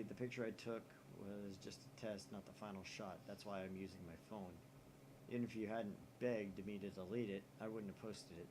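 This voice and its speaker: A man voicing a shy twenty-year-old woman whose email he reads out in a soft, hesitant, apologetic way. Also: lowish voice, noisy background, natural voice.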